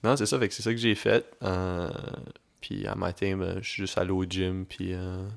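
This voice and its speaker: A young man with a Québécois French accent chats casually on his podcast. His voice sits low and he tells stories in an easygoing way, slipping in English slang. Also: normal speed, vocal fry. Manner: relaxed, laid-back storytelling with English slang mixed in. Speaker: podcast co-host. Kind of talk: casual chat.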